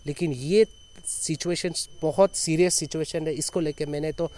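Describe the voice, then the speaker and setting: A man in his thirties speaks in a slightly high voice with a Northeast Indian, Arunachal accent. He sounds earnest, making an off-the-cuff statement to reporters' microphones outdoors, stacking repeated phrases to press his point. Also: fairly even intonation, moderate pace, darkish tone. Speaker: foundation chairman, activist. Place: outdoors in an open clearing with trees. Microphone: handheld reporters' microphones held at chest height.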